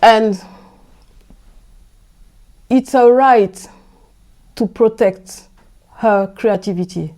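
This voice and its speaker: Slow French-accented woman, mellow voice. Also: fairly high pitch. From France. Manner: hesitant, restarts words. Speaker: guest, host's wife. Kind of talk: casual chat.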